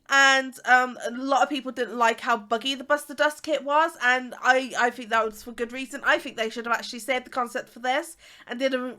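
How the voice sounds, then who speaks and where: Congested English woman in her twenties, bright, chatty host, rambles with fillers. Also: high voice. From England. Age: 20s. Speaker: YouTuber. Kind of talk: casual chat.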